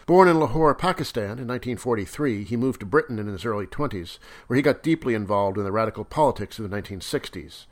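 Male radio interviewer, plain delivery. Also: mid-range voice. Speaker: interviewer host. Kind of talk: scripted intro, then interview questions.

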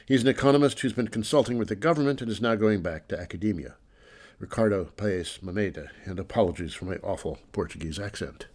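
Man, radio host, low voice, measured questions. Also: a little mellow. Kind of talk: hosting and interviewing on radio.

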